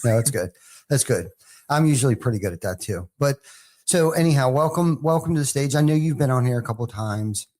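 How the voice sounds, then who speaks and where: A man's voice: mid-range voice, expressive, a little fast, a little mellow. A man hosting a talk show, introducing his guest in a rambling way full of fillers and repeated words. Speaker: show host. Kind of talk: casual conversation.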